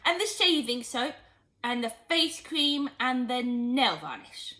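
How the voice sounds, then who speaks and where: A woman's voice: sits high, very animated, takes it slowly, sharp tone, voice pushed up, full character voice. A woman voicing an earnest, helpful young boy in a storybook, eager and matter-of-fact. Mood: concentrating.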